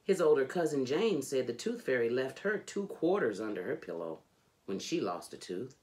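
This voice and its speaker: A middle-aged woman reading a children's book aloud in an expressive, deliberate, emphatic storytelling manner. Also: sits low. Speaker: storybook reader. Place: indoors, furnished home room.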